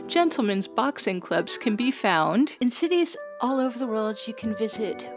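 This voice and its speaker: A woman's voice: fairly high pitch, lively intonation, moderate pace. A woman performing a scripted narration, spliced together from recorded audio. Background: background music.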